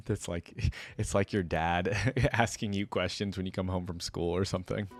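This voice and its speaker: A man's voice: sits low, steady tone, moderate pace, soft background music. A man giving casual, chatty commentary as he reacts to game voice lines, close to the microphone. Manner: informal, chatty.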